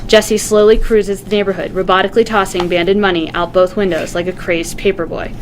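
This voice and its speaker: Woman narrating a script, medium pitch. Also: fairly even intonation, a little fast, a little hiss or hum. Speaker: narrator reading stage directions. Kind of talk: scripted narration at a table read.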